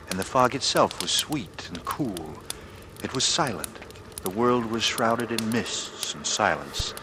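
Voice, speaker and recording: A man's voice: on the low side, close to an everyday voice. An actor playing a brooding, bitter man with murder hidden behind a casual front, in a 1940s radio drama. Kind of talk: 1940s radio drama acting, first-person narration and dialogue. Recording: noisy old radio broadcast recording.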